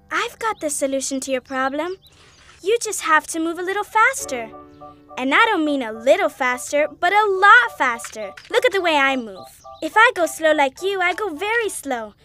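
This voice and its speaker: A woman's voice: very high voice, moderate pace, soft background music, full character voice. A woman voicing an eager, impatient young cow in a children's cartoon, talking emphatically. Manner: excitable, emphatic, exclaiming.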